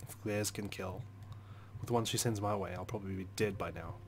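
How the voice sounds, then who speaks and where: Low-voiced man reading a story dramatically. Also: steady tone, brisk, breathy edge. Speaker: let's play host reading game narration. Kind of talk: expressive first-person story narration. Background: music.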